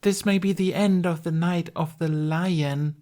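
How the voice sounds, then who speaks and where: A man putting on a high, theatrical lady knight. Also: a little slow, darkish tone. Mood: dramatic lament. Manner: declamatory, archaic stage speech. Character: theatrical fairy woman acting a gallant, bombastic knight.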